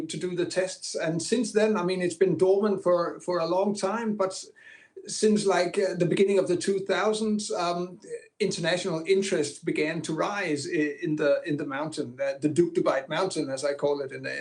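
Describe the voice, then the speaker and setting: A filmmaker from Greenland answers interview questions in a fairly high voice. He talks casually, often stops to restart, and fills his pauses with ums. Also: fairly even intonation, darkish tone. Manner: hesitant, many fillers and restarts. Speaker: filmmaker and film teacher, guest.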